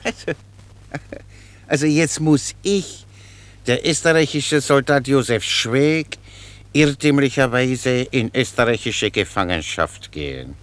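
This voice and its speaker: An actor playing a naive, talkative, good-natured Czech soldier in a radio play, rambling on in a folksy, guileless way. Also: male voice, medium pitch, lively intonation, slow, fairly bright tone. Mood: chatty, protesting. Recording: noisy radio play recording.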